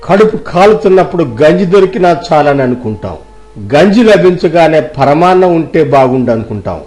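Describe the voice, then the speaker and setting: A man's voice: a little high, big pitch swings, a little fast, a little mellow. A man playing a wise, kindly middle-aged village uncle in a dramatized moral story, speaking earnestly and moralizing.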